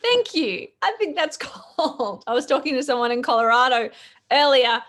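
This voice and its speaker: An Australian woman with a slightly raised pitch and a croaky voice hosts a live online show. She talks in a loose, chatty way, with plenty of fillers and laughter between thoughts, on a computer mic over a video call. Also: sing-song, moderate pace, a bit bright. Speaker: podcast host. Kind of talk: casual live-stream chat.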